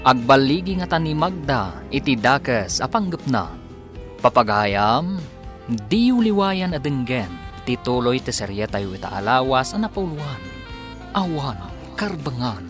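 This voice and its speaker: Animated Filipino radio drama announcer, music behind. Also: male voice, mid-range voice, measured pace. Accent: Ilocano Filipino. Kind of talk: dramatic scripted radio narration.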